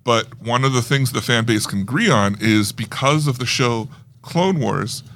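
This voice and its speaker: Gravelly-voiced man chatting as a geeky co-host. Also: medium pitch, normal speed, a bit bright. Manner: geeky, explains fan lore at length. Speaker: podcast co-host reviewing film news. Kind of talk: casual chat.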